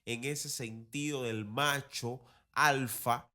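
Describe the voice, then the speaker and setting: A man's voice: medium pitch, steady tone, slow, sharp tone. Conversational man giving opinions. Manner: conversational, critical, colloquial. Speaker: podcast host and teacher. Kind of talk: casual opinionated commentary.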